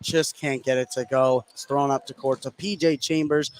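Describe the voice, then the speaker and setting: A man doing live play-by-play basketball commentary for radio from a high school gym. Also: steady tone, normal speed, heavy background noise. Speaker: play-by-play sports announcer.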